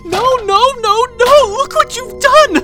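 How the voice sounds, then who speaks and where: A woman's voice: very animated, takes it slowly. Woman doing a creepy sweet captor lady, baby talk that snaps into shrieking, faint music behind. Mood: hysterical, furious. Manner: syrupy baby talk turning to shrill screaming fits. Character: sugary unstable female captor, sweet yet menacing. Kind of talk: audio roleplay drama.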